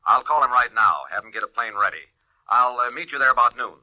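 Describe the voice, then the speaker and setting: An American actor playing a smooth pilot and cold killer in a 1940s radio crime drama. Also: male voice, mid-range voice, expressive, quick pace, bright, forceful tone, dull audio. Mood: affable. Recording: noisy vintage radio drama recording.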